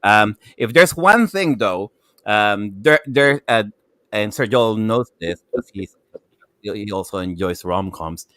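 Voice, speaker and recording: A man with a Filipino accent chatting casually as a gaming show panelist, excitable and rambling, often repeating himself. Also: on the low side, slow pace, dry recording. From Philippines. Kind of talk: casual chat.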